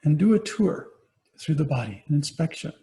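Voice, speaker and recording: Mellow male meditation guide, unhurried. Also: on the high side, big pitch swings, vocal fry. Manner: gentle, unhurried instruction with pauses. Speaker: meditation teacher, Buddhist monastic. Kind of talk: guided body scan meditation.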